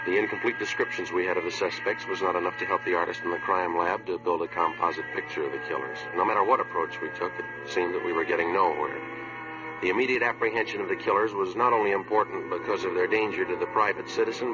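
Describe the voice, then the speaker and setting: An actor playing a terse, businesslike police sergeant in a deadpan, matter-of-fact radio drama style. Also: male voice, low-pitched, close to an everyday voice. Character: terse, businesslike male police sergeant, narrating his case. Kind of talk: 1950s radio drama acting, clipped procedural narration. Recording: dull, noisy old radio recording.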